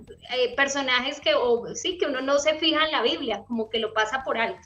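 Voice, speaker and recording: Woman interviewer, fairly high voice, friendly, hesitant questions, dull recording. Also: moderate pace, a bit bright. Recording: dull, muffled sound.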